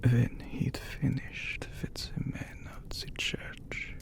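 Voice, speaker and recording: A man playing an immortal, brooding vampire lord in an ASMR audio drama, with a breathy whisper and solemn, formal phrasing. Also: takes it slowly, natural voice. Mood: grave storytelling. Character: handsome immortal vampire count, brooding, menacing lord of castle.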